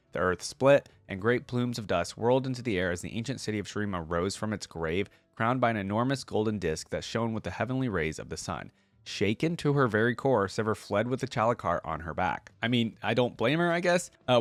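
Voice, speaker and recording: A man's voice: on the low side, no room sound. A man hosting a reaction video, reading stories aloud and commenting in a laid-back, colloquial fan style. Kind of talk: casual commentary and story reading.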